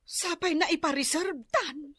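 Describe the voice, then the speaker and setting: High-pitched Ilocano village gossip. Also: female voice, measured pace, a bit bright, no room sound, clean studio sound. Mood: excited. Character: excited gossipy village woman eager for a fancy party. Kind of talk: comedic radio drama acting.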